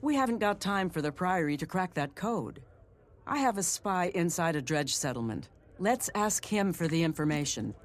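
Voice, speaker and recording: An actor, a man, playing a composed, watchful secret agent in a video game, speaking in a measured way. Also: sits high, very animated. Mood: businesslike.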